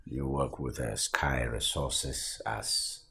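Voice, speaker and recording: A stern gravelly Nigerian father. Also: extremely low pitch, fairly even intonation, bright, forceful tone, full character voice. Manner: slow, probing, measured. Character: stern older father questioning daughter's fiancé. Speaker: bride's father.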